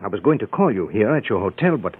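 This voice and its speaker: A smug, husky arsonist villain. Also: male voice, lowish voice, very animated, fast, heavily acted. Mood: intimate. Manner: smooth, self-satisfied, coaxing. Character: scheming middle-aged male arsonist, smug perfectionist. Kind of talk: 1940s radio acting. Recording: tinny old radio broadcast.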